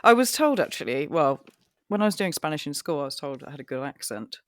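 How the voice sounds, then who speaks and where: An animated woman co-hosts a podcast, joking at her own expense. Her voice is deep for a woman but swoops up and down a lot. The recording is close and dry. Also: fast talker, dry recording. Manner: animated, self-mocking, swears casually. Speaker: podcast co-host. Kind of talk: casual chat. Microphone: podcast microphone.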